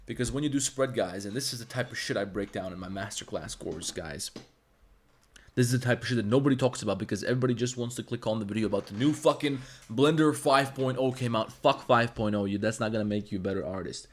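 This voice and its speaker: A man's voice: fairly low pitch, quick pace. A man with a Balkan accent, a native Serbo-Croatian speaker, streams a 3D modeling tutorial while chatting with his viewers in a blunt, profane, rambling way. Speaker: 3D artist and streamer. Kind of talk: livestream tutorial with chat.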